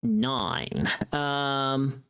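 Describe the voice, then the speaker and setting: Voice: mid-range voice, lively intonation, extremely slow, fairly bright tone, clean tone. A streamer of unclear sex chatting casually to a live audience and thinking aloud while working. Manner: thinking aloud, humming little tunes between remarks. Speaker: streamer and voice performer. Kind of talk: casual livestream chat.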